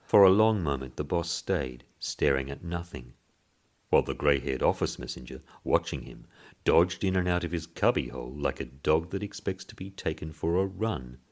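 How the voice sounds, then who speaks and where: A man narrating a literary short story in a measured, deliberate way. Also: a bass voice, lively intonation, darkish tone. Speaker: story reader.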